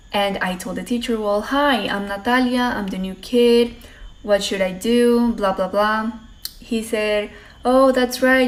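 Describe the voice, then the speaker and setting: Creaky-voiced woman from Colombia. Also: mid-range voice, low-quality recording. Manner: animated, disbelieving retelling. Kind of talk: casual anecdote.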